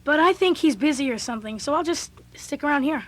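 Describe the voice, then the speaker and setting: High-voiced awkward teenage boy. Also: expressive, fast, a bit bright. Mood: awkward, offhand. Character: casual, awkward teenage older brother. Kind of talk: scripted audio drama dialogue.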